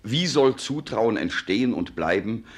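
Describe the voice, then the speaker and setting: Deep-voiced male counsellor, husky, standard German, earnest and formal, classic radio play. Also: expressive, a little slow, heavily acted. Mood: cautious, frank. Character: loyal, cautious male counsellor to a regent. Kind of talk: classic German stage-drama radio acting.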